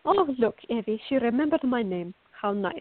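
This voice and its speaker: Woman acting a stubborn teenage girl, high-voiced, phone-like sound. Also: animated, normal speed, dry recording, lightly acted. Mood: sarcastic. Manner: headstrong, sarcastic. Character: fiery, stubborn teenage girl, defiant and sarcastic. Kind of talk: radio drama acting. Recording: muffled, phone-like narrow-band audio.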